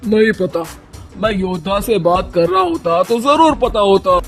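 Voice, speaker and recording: Performer playing monkey warrior god, mid pitch, bold, sarcastic, theatrical cartoon delivery, music behind. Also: measured pace, natural voice. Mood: taunting. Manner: taunting, theatrical. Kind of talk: Hindi cartoon comedy mythological dialogue.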